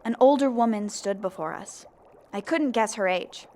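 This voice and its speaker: A woman's voice: mid-range voice, normal speed, mellow, a little hiss or hum, natural voice. A woman playing a young female zombie who narrates her own story in an earnest, plain audio drama style. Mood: reflective. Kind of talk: first-person scripted narration.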